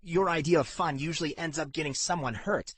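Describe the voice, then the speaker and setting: A man's voice: fairly high pitch, fairly quick, fairly bright tone, husky, no room sound, pitched above the performer's own voice. A man voicing an anxious, self-doubting young pig farmer in audiobook dialogue, earnest and unsure. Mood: wry, resigned.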